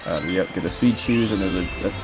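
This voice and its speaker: Low male voice, casual gameplay commentator, informal rambling explanations, game music behind. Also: brisk, poor audio. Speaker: speedrunner commentator. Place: voice-over recorded over game footage.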